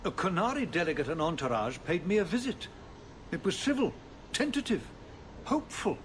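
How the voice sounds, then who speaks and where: An actor playing a weary, civil nobleman who rules a troubled city in a video game, measured, formal and dryly ironic. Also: high-pitched, big pitch swings, slight background noise. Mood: grave concern.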